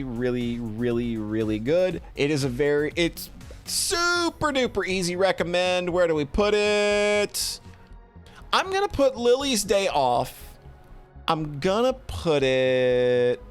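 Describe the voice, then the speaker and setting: A man streaming game reviews in a casual, rambling, expressive and effusive way, talking to a live chat and swearing casually. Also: medium pitch.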